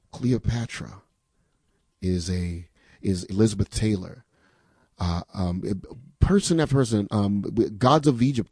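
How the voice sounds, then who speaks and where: A man on a radio pledge drive makes an emphatic, persuasive appeal to listeners. His voice is dark and mellow, recorded close and dry in a studio. He asks rhetorical questions and repeats the call-in number again and again. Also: mid-range voice, husky, no room sound. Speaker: radio fund drive host.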